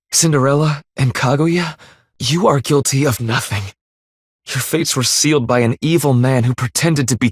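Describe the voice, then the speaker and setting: An actor playing an earnest, remorseful, devoted husband in a dramatic video game, with formal, measured, solemn phrasing. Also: male voice, mid-range voice, slightly rough, no room sound, clean studio sound.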